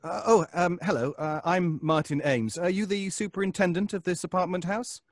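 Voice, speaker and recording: Flustered, fussy, high-voiced nervous gentleman. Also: no room sound, clean studio sound. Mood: polite, hesitant. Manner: stammering, flustered, halting. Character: anxious, fussy, hesitant male stage actor neighbour. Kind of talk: old-time radio suspense acting.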